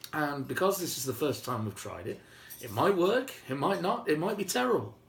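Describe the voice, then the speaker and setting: A man telling a story informally and whimsically, with dramatic pauses and emphasis. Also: on the high side, sing-song, a bit bright. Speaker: storyteller and video host. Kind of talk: informal improvised oral storytelling. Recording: roomy, faint background noise.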